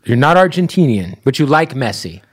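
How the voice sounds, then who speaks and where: A man hosting a podcast, chatting casually with a guest and dropping quick interjections. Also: medium pitch, very animated, slightly rough, no room sound, studio quality. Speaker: podcast host.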